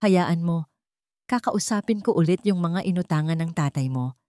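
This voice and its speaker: A caring young man, loyal and reassuring, as a woman storyteller plays him. He speaks soothingly in radio-drama style, in a lowish register and dry sound. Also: lively intonation, moderate pace, darkish tone, dry recording, lightly acted. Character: caring, loyal young male childhood friend.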